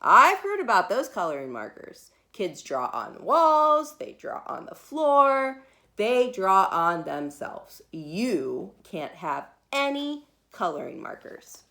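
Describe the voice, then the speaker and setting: Woman playing an emphatic, bright-voiced storybook mother. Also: medium pitch, very animated, slow pace, exaggerated voice. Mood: alarmed refusal. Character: wary, exasperated mother who gives in.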